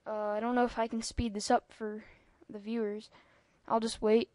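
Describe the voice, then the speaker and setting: A woman's voice: a little high, flat delivery, moderate pace, warm tone, no echo. A young-sounding female speaker giving a rambling, casual computer tutorial over a screen recording, with lots of ums and asides. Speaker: tutorial maker.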